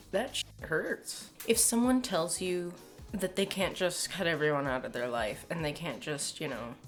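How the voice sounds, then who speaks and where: A young adult woman with a Canadian accent giving casual, emphatic opinion commentary to camera in a sardonic, exasperated way. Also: deep voice. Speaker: video commentator. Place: small home room, indoors.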